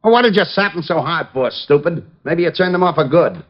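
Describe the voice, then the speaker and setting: Menacing gangster boss, swinging pitch. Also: male voice, animated, fairly quick. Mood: brusque, scheming. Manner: ungrammatical tough-guy slang. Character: menacing gangster boss, gruff, easily nettled. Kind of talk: old-time radio detective drama acting. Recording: muffled vintage radio recording.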